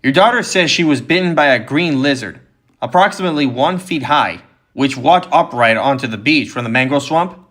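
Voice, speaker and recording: A man's voice: medium pitch, lively intonation, moderate pace, a bit bright. A man voicing a confident, precise field biologist in an audiobook story, explaining things with assurance. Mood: assured.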